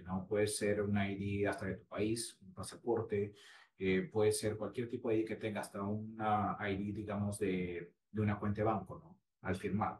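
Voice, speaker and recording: A man in his forties explaining home buying on a video call, with steady explanatory talk that he tags with "¿no?". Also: lowish voice. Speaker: real estate agent. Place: small room at home. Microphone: laptop webcam. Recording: roomy, distant mic with faint hiss.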